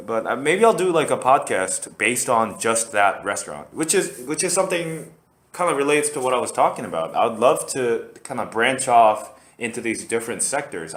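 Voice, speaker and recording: A man's voice: on the low side, fairly bright tone, echoey room. A man from Korea, hosting a casual solo podcast and rambling with lots of fillers and restarts. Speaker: podcast host.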